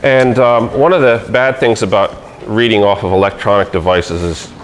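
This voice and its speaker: A man giving halting remarks at a memorial service, full of ums and restarts. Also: on the low side, fairly even intonation, a little hiss or hum. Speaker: memorial speaker. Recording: echoey hall from far off, guitar music.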